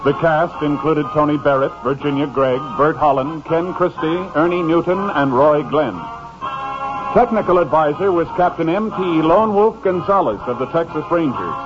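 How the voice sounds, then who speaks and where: A man's voice: a little high, normal speed. A man working as programme announcer, reading credits and introductions in formal 1950s radio style. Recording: dull old radio recording with music.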